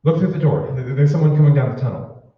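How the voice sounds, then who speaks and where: A man's voice: a little high, fast, natural voice. A man playing a nervous, doubtful sidekick in an old-time radio drama, hesitant and stammering. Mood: frightened. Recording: echoey hall, distant, dull, loud noise.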